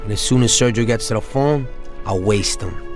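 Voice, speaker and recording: A cocksure mob hitman acted by a man with a lowish voice, blunt and eager in a video game cutscene. Music plays clearly behind him. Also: moderate pace, close to an everyday voice. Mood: confident, scheming. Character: cocksure male gangster hitman, eager for the job.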